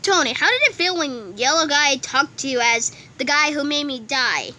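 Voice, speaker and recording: A woman performs a comic dub in a theatrical style. Her voice is extremely high and bright, swinging widely in pitch. The home recording carries slight noise. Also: expressive, moderate pace. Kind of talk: animated fan comic dub reading.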